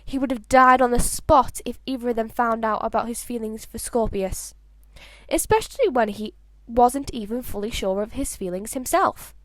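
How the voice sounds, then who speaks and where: A woman's voice: a little high, dry recording. A woman narrating a story like an amateur audiobook, reading expressively with the occasional stumble over words. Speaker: fan fiction narrator.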